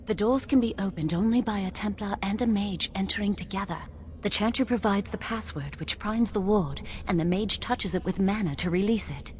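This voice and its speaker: A woman playing an earnest, anxious young chantry woman in a fantasy video game, with a measured, solemn delivery. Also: medium pitch, a little mellow, a little hiss or hum, natural voice. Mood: solemn, secretive.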